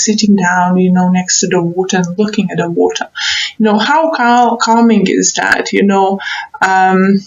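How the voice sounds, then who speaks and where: Slow-talking woman, echoey tarot reading. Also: mid-range voice. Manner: rambling, gently encouraging. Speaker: tarot reader. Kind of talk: casual tarot reading, advice to viewers. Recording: roomy echo, dull muffled sound.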